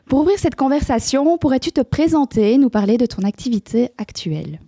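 A woman hosting a podcast, reading a scripted introduction smoothly and asking interview questions. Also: sits high, lively intonation, fairly quick, warm tone, no echo. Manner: smooth, read-aloud delivery. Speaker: podcast host.

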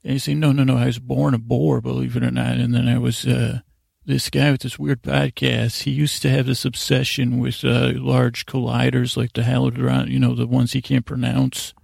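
A male podcast host tells a drowsy, wandering bedtime ramble in a heavily gravelly, mellow voice. His diction is loose and a little slurred, and he circles back on himself in halting tangents. The sound is close and dry, with faint music underneath. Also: mid-range voice, level tone, no room sound. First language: English. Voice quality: imprecise, slightly slurred diction. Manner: meandering, halting, repeats and trails off into tangents. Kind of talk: rambling bedtime storytelling meant to lull listeners to sleep.

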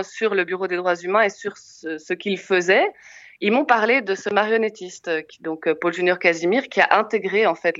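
A woman's voice: medium pitch, a bit bright, dry recording, lo-fi sound. A Belgian woman film director, answering interview questions in a hesitant way with many fillers and restarts. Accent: Belgian French.

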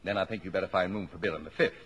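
An actor playing a brisk, proud, bossy middle-aged father with pompous, formal phrasing in a 1950s radio play. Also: deep voice, fairly bright tone. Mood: proud, insistent. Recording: noisy vintage 1950s radio recording.

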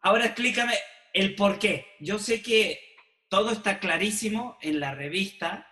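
Male interview host, high sharp voice, informal, roomy echo. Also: measured pace. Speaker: show host. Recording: echoey room.

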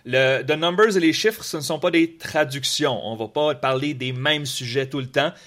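A man's voice: on the high side, moderate pace. Chatty Quebec French man hosting. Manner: relaxed, talkative, repeats words when searching. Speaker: podcast host, political columnist. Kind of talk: casual political podcast chat. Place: in Quebec City.

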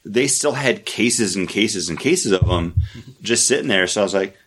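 A man's voice: lowish voice, expressive, normal speed, a bit bright. A man co-hosting a podcast in casual chat, rambling with lots of fillers and the odd joke. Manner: rambling, many fillers, jokey. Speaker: podcast co-host.

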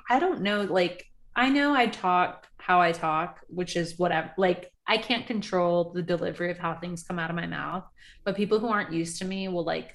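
A young woman co-hosting a casual podcast recapping a reality show, animated, laughing often and swearing freely. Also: on the low side. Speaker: podcast co-host.

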